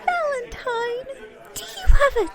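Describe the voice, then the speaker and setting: Squeaky sassy little sidekick, drawn-out delivery, excitable, audio drama, faint crowd behind. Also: female voice. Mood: alarmed.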